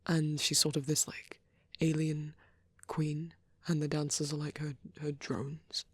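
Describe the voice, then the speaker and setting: Low husky woman doing shy asmr rambling, half whispering, really close and dry. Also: little pitch movement, measured pace, dry recording. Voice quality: soft, breathy. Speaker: ASMR roleplay creator. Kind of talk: soft rambling ASMR chat. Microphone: close microphone.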